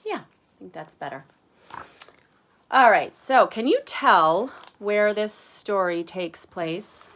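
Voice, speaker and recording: A woman teacher reading aloud to young children, chatting conversationally, explaining gently and asking them questions. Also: medium pitch, expressive, takes it slowly. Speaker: kindergarten teacher.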